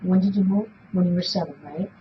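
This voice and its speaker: A woman's voice: fairly low pitch, fast. A woman conducting an interview, asking questions in a casual, conversational way. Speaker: police polygraph examiner, interviewer. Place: small police interview room. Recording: muffled, noisy interrogation room recording.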